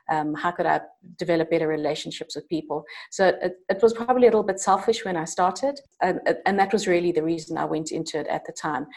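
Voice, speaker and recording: A middle-aged South African woman with a lowish voice, speaking as a podcast guest. She reflects aloud on her career, pausing on ums and restarting her sentences as she thinks. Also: gravelly, darkish tone. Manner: thoughtful, with frequent ums and restarts. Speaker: internal coach and change manager, guest. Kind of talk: conversational interview answers.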